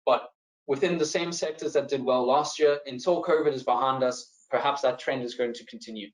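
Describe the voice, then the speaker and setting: A man with a South African accent, a financial adviser presenting a live online seminar and taking audience questions in a relaxed, friendly way. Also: medium pitch, brisk, roomy sound, low-quality recording. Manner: relaxed and friendly, with frequent fillers and repeated words. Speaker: financial adviser hosting a webinar.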